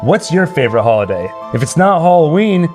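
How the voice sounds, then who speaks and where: A man's voice: fairly high pitch, big pitch swings, fairly quick, darkish tone. A man chatting casually and jokingly as host of a live stream. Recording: spooky ambient music playing behind. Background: ambient Halloween music.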